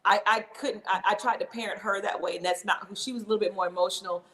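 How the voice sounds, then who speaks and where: A middle-aged woman hosts a relaxed talk show. She speaks in a candid, reflective way and laughs easily, at an ordinary pitch. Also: fast. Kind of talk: casual candid conversation.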